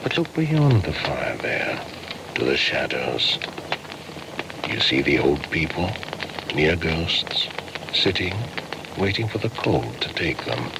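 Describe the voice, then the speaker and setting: Under loud atmospheric noise, an extremely deep male voice speaks as an ancient shaman spirit. He is ominous and proud, chanting his radio-play monologue slowly and solemnly, at times sinking toward a whisper. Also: big pitch swings, creaky, full character voice. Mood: grim. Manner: slow, incantatory, solemn. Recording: heavy background noise and ambience.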